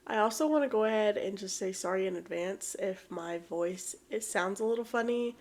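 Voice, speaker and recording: A woman's voice: mid-range voice, moderate pace, a little mellow. A young woman hosting an art vlog, chatting casually while she paints, sounding a little congested from allergies, rambling and self-deprecating. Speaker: art channel host.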